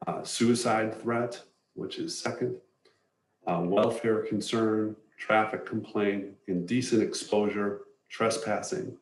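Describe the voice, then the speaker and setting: A man giving an informational slide presentation to residents in a measured, matter-of-fact, explanatory way. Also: lowish voice, slight background noise. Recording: roomy echo, distant from microphone.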